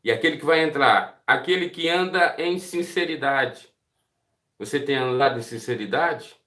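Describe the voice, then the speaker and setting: A man's voice: mid-range voice, expressive, moderate pace, pushed and bright, roomy sound. A man with a Brazilian Portuguese accent giving a devotional Bible teaching, earnest and putting direct questions to his listeners. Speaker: preacher.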